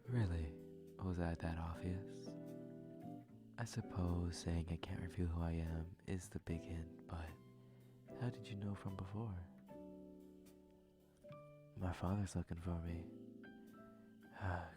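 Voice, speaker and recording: Courteous, bashful young prince played by a man, ASMR roleplay: hushed breathy delivery, slow and gentle, formal wording, music underneath. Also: low voice, fairly even intonation. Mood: curious, courteous. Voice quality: breathy, often whispered. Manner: gentle, polite, hesitant, formal phrasing. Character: courteous, shy, flustered young prince at a masquerade. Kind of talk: intimate ASMR roleplay, addressing the listener.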